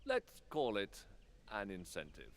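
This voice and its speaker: A deep-voiced, stately fantasy lord. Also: male voice, wildly swooping pitch, a little slow, full character voice. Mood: guarded, weary. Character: worried, stately male lord of a fantasy council. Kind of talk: fantasy video game cutscene acting.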